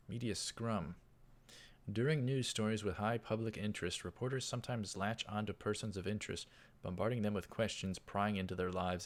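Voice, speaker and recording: A man hosting a let's play, reading visual novel text aloud with halting delivery and casual commentary. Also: on the low side. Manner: halting, repeats words, interjects mild exclamations.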